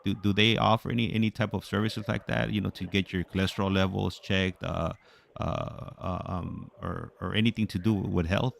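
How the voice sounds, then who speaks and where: An American man hosting a casual interview, phrasing his questions in a halting, hesitant way. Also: low voice, steady tone, vocal fry, a little hiss or hum. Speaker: host interviewing a caller.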